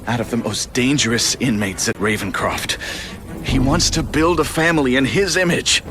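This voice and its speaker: An actor playing an ailing scientist in a video game, giving grave, halting explanations with urgency in a weakened state. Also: male voice, mid-range voice, background noise, natural voice. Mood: urgent, foreboding. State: weakened, coughing. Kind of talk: video game drama acting. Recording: loud music behind the voice.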